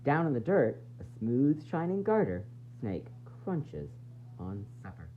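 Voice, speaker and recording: A man reading a children's picture book aloud in an expressive, dramatic, sing-song way, with varied emphasis. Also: medium pitch, measured pace. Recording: dull lo-fi sound, faint noise and hum.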